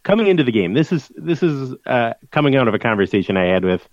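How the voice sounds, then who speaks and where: A man's voice: medium pitch, sing-song, quick pace, darkish tone, no echo, muffled recording. Dry-sounding podcast man talking football stats. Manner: conversational, stat-heavy, restarts mid-sentence. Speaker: podcast co-host, college football correspondent. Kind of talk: casual sports podcast chat.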